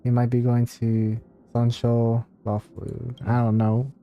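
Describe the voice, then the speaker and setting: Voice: mid-range voice, completely flat delivery, takes it slowly, lo-fi sound. A video game streamer giving casual live commentary while playing.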